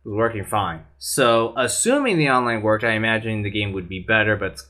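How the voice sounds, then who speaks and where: Male panelist, bright timbre, earnest rambling delivery. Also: fairly low pitch, expressive, fairly quick. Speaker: podcast panelist. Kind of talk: casual opinionated chat.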